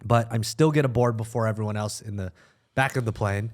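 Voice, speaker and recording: A man in a relaxed group podcast conversation, talking casually and colloquially. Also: fairly low pitch, fairly quick. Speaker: podcast participant. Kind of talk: casual chat.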